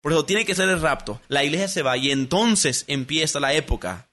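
A male pastor delivering a sermon with a bright, slightly high voice. He preaches emphatically, stretching out key words for weight and then pressing on. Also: animated. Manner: emphatic preaching with slow stressed phrases.

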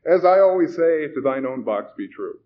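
Man playing a bright, animated young male emcee. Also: medium pitch, a little fast, voice pushed up, natural voice. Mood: bright, chipper. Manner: upbeat, encouraging, folksy presenter patter. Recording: muffled recording with background noise.

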